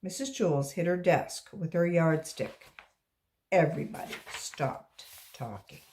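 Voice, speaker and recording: A woman's voice: sits low, measured pace, darkish tone, slight background noise. A woman reading a children's story aloud as a volunteer reader for young listeners.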